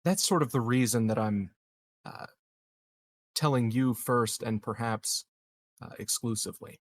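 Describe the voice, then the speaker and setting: Man playing a measured, evasive colony politician. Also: medium pitch, no echo. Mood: guarded, persuasive. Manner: hesitant, hedging, measured politician's talk. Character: smooth, evasive, scheming male colony leader in science fiction. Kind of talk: improvised tabletop roleplay.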